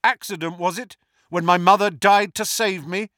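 A man's voice: a little high, animated, unhurried, pushed and bright, no room sound, clean studio sound. A man voicing a brave teenage wizard in an audiobook, speaking with firm, earnest defiance. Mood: challenging.

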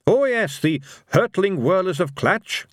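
Storybook role: man plays earnest, literal-minded straight arrow, courteous and exact, pitched high. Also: expressive, a little slow, no echo, clean studio sound.

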